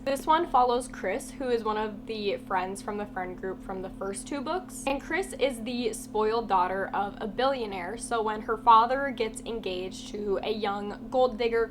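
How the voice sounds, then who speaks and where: Stuffy-nosed woman with a cold, a bit high, talking frankly and casually over a constant hum. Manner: chatty and blunt, many "like" fillers. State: congested with a cold, voice partly lost. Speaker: book reviewer YouTuber. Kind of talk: casual book review vlog. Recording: steady humming background noise.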